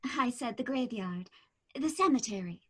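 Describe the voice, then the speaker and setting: An actress playing an eerie, calm young woman in her twenties in a radio drama, speaking in a cool, knowing, quietly insistent way. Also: a little high, normal speed, slightly rough. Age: 20s.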